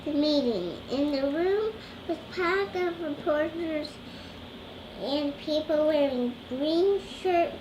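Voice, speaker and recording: Young girl, high voice, halting storybook read-aloud, stumbles and repeats, echoey noisy room. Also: lo-fi sound. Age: child. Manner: hesitant, stumbling over words, repeating phrases. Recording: roomy echo with clear background noise.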